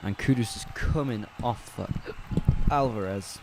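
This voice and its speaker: A man calling a football match live on a fan watch-along stream, chatty and relaxed, correcting himself as he goes and answering viewers' chat. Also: lowish voice, measured pace, background noise. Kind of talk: casual live play-by-play commentary.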